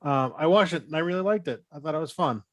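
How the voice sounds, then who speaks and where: A man's voice: on the high side, fast talker, a bit bright, dry recording, clean studio sound. A man speaking as a podcast panelist in casual group chat, hesitant and often rewording his phrases.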